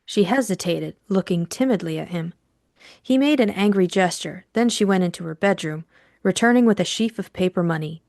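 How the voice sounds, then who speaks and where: A woman's voice: lowish voice, normal speed, gravelly, a little mellow, no echo, clean studio sound. A woman reading scripted audiobook narration as the storyteller.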